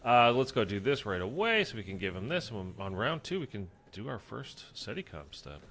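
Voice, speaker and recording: A man's voice: fairly low pitch, sing-song, moderate pace, a bit bright, slight background noise. A man casually chatting through a zombies game session, rambling with lots of fillers and sounding stuffy and congested. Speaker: gaming streamer. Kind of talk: casual gameplay commentary.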